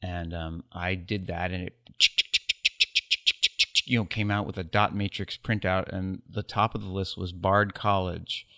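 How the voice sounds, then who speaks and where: A man from the United States, a guest photographer in a casual interview, speaking reflectively with wry, self-deprecating humor and pauses filled with ums. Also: low-pitched, little pitch movement, fairly bright tone.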